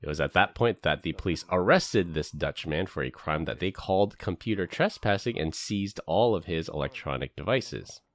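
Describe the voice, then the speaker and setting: Male podcast host, very low and animated, laughs a lot, casual delivery. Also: normal speed, hardly any pauses. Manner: laughs often, jokey and animated.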